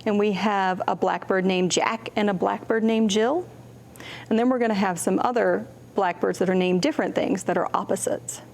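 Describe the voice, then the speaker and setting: A woman's voice: medium pitch, moderate pace. A woman hosting a children's storytime, reading picture books aloud expressively and putting simple questions to her young listeners. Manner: expressive, acts out contrasts with her voice. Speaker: children's storytime host, librarian.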